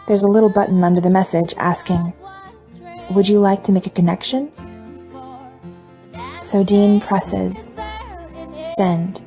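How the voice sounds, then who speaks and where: A woman reading a fan fiction story aloud, with relaxed storytelling and lightly voiced dialogue. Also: medium pitch, unhurried, pauses often, faint music behind. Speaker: podfic reader.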